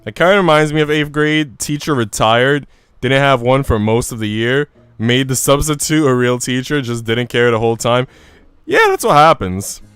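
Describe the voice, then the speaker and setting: A man's voice: medium pitch, animated, normal speed, fairly bright tone, smooth, no room sound. A man live streaming a game, chatting casually in a slangy, profane, joking way. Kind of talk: casual chat while streaming a visual novel.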